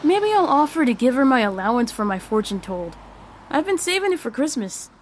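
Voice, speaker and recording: A woman's voice: high voice, big pitch swings, fairly quick, background noise. A woman playing an earnest, kind, jittery middle school boy in an audio drama, with hesitant, slangy phrasing. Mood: chatty.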